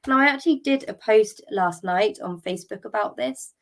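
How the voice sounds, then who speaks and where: A middle-aged woman talks into a podcast microphone, her voice a little croaky from a cold. She speaks candidly and sounds drained, thinking aloud with ums and small restarts. Her pitch sits in an ordinary middle range. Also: moderate pace. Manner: tired and drained. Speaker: podcast host. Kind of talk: solo podcast talk.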